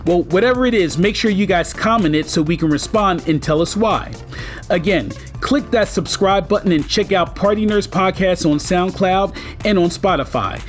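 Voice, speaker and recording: A man with a fairly high-pitched voice narrates as the host of an online video. He speaks to fans informally and with emphasis, over light background music. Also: lively intonation, normal speed. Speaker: YouTube host. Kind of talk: scripted narration for an entertainment video.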